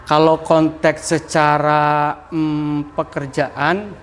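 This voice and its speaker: A man with a broad Javanese ngapak accent and a fairly high voice talks through his ideas in a relaxed podcast chat. He keeps tagging his points with easy fillers. A faint room ambience sits behind him. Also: very slow, slight background noise. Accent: Javanese Banyumas (ngapak). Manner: explains with many "kayak gitu" tags, laughs easily. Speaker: podcast guest, communication mentor. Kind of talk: casual podcast interview.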